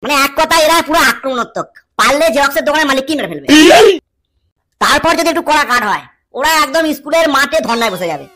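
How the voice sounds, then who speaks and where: Woman as a high-voiced theatrical cartoon schoolboy. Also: expressive, fast talker, pushed and bright. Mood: heated, mocking. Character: dramatic, cheeky cartoon schoolboy explaining exam cheats. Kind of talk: comic cartoon monologue.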